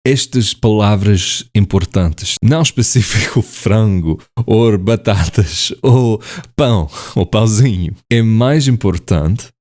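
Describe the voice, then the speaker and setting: A man's voice: lowish voice, takes it slowly, a little mellow. A man vlogging in a language he is still learning, hesitant and full of fillers and self-corrections, often laughing at himself. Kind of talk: casual to-camera talk in a learned language.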